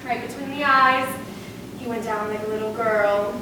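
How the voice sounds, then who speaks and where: A woman's voice: a little high, steady tone, normal speed, close to an everyday voice. A woman playing an imperious, vain Hollywood diva in a stage farce, with haughty, sarcastic, theatrical delivery. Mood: indignant, boastful. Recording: echoey, noisy stage recording from far off.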